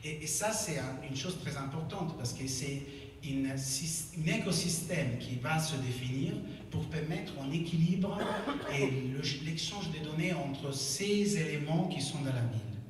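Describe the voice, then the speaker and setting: Italian-accented man presenting, fairly high voice, distant mic, long hall echo. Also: lively intonation, a little hiss or hum. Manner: halting, self-correcting, with non-native phrasing. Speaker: conference speaker. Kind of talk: conference presentation. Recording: echoey hall, speaker far from mic.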